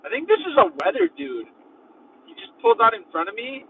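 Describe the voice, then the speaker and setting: A young man rambles through a road-trip vlog from behind the wheel of his van, his voice high and bouncing all over. He sits away from the mic, so he sounds thin and phone-like, with faint road noise underneath. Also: huge pitch swings, brisk, distant mic. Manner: rambling, heavy use of "like". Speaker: vlogger. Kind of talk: casual road-trip vlogging. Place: inside a van while driving. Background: faint road and engine noise.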